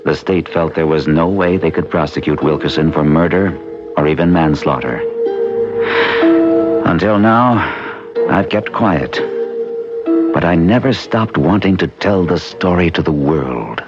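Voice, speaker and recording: An actor playing an earnest, determined man who tells his own strange story in an old-time radio drama, grave and deliberate. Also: low voice, highs cut off. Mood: grave narration. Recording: band-limited old radio recording with music behind.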